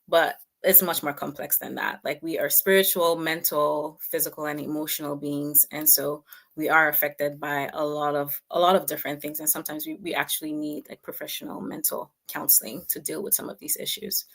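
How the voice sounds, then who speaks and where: A woman's voice: sits low, fairly quick, creaky, fairly bright tone. A woman, answering reflectively as a guest author and counselor in a conversational interview, often repeating words as she explains. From Cameroon.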